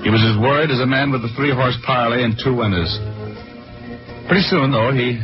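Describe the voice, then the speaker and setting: A man's voice: medium pitch, a little fast, natural voice. An actor playing a cocky, wry American private detective who narrates his own case in 1940s radio style. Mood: wry narrating. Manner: breezy, flippant, wry. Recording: dull 1940s radio recording with music.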